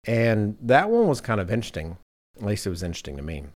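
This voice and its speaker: A man's voice: on the low side, huge pitch swings, quick pace, darkish tone. A man hosting a podcast in casual conversation, telling anecdotes in a self-deprecating way. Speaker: podcast host.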